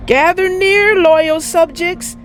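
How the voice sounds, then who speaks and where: A woman's voice: very high voice, takes it slowly, a bit bright, a little hiss or hum, voice pushed up, exaggerated voice. A woman voicing a bossy, self-important young girl in a children's storybook, with a grand, commanding way of announcing things. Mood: grand, imperious.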